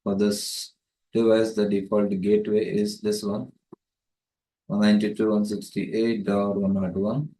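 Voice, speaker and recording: A man's voice: lowish voice, mellow. A man giving a methodical, step-by-step tutorial narration as a networking tutor, recorded with roomy echo on a distant microphone. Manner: methodical, explanatory.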